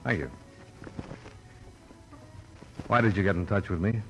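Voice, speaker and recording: A deep-voiced, hard-boiled investigator in a 1950s radio noir. He talks in a dry, sardonic, skeptical way, with music clearly present behind him on the old recording. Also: very fast, a bit bright, low-quality recording. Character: hard-boiled, skeptical male insurance investigator, narrating his case.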